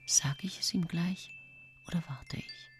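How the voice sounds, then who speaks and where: A woman's voice: on the low side, unhurried, whispered, lightly acted. An actress playing a clinging, scheming mistress in her thirties, pleading and intense, in a radio drama. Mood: anxious, plotting.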